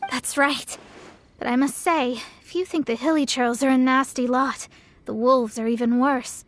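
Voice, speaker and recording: A worried traveller woman with a cart, from an anime game, played by an actress. Her voice is high and troubled, over faint noise. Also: steady tone, moderate pace, close to an everyday voice. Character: shaken travelling cart owner, worried townsperson. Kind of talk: anime video game voice acting.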